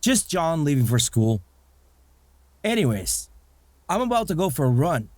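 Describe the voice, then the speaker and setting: A man's voice: fairly high pitch, sing-song, unhurried, a little mellow, no room sound. A man playing an easygoing, self-deprecating middle-aged father in a comic dub, with a casual, reflective way of talking. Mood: casual, wry. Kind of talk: amateur comic dub acting.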